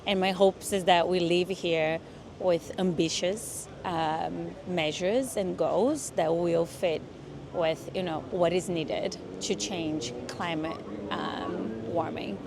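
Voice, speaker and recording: Lower-voiced woman over clear traffic noise. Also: takes it slowly. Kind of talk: earnest interview statement.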